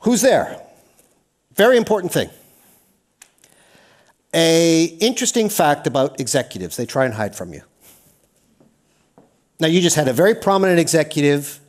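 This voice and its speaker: A Canadian man giving an energetic, interactive seminar talk, often putting questions to the audience. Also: fairly high pitch. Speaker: workshop speaker. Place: lecture hall.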